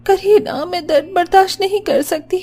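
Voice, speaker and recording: Cartoon casting: young pregnant wife played by a woman, very high, pleading through labour pain. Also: moderate pace, warm tone. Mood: agonized, pleading. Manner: pleading, wailing.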